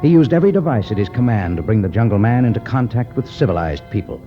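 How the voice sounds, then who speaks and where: A man's voice: on the low side, animated, fast talker. A man giving grave, dramatic scripted narration for a 1950s radio serial. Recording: dull 1950s radio recording. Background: faint music.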